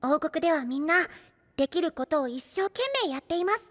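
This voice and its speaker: A woman's voice: high voice, fairly quick, big-room sound, lightly acted. A woman voicing a small, sweet, polite and diligent young character in an anime audio drama, speaking earnestly.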